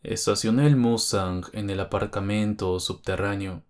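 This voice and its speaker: A man's voice: on the low side, fairly even intonation, normal speed. A man reading a scripted first-person horror story as its narrator.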